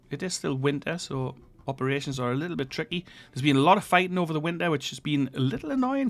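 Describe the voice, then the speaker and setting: A man commenting casually on a strategy game he is playing, sounding congested and croaky from a cold as he thinks aloud over the map. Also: medium pitch. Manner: relaxed, thinking aloud with fillers while reviewing the map. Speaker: gaming channel host.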